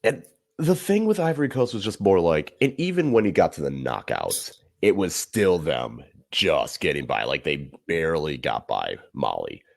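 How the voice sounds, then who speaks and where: A man's voice: very deep voice, normal speed, vocal fry, dry recording, studio quality. A man as podcast guest talking through sports in casual chat, rambling and correcting himself as he goes. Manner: animated, rambling analysis with self-corrections.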